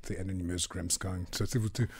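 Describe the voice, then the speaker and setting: A man's voice: deep voice, steady tone, quick pace, darkish tone, husky. A man hosting a podcast interview, talking casually and hesitantly with many fillers. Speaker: podcast host.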